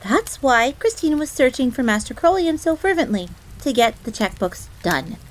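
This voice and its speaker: A woman's voice: high-pitched, very animated, moderate pace, a little hiss or hum, low-quality recording. A woman playing a jealous, easily flustered fox maid in a roleplay audio drama, haughty and indignant yet self-deprecating, prone to stammering. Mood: defensive. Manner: indignant, stammering when flustered, refers to herself in third person.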